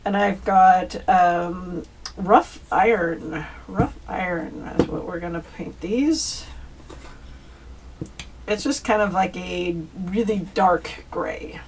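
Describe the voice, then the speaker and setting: A woman's voice: lowish voice, slow. Woman, craft tutorial host, informal and chatty, step-by-step explaining, distant mic, faint background noise. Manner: chatty, informal, addresses viewers as you guys. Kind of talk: casual step-by-step craft demonstration.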